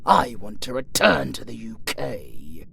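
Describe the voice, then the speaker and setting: A British man voicing a skeletal undead necromancer in an audio roleplay, a vengeful, flirtatious villain with a creaky, melodramatic delivery that moves between hushed and screamed. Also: medium pitch, huge pitch swings, unhurried, exaggerated voice. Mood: brooding, vengeful. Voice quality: creaky, breaking into shrieks and whispers. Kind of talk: audio roleplay acting, ASMR-leaning.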